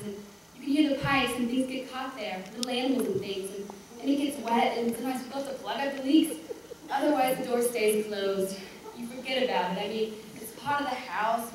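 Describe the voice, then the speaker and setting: A woman performing a theatrical monologue as a reluctant, embarrassed seventy-two-year-old, chatty and blunt, with halting, dismissive asides. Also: fairly high pitch, lightly acted. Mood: hesitant. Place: echoey room, recorded from far off. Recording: echoey room, distant mic, faint noise.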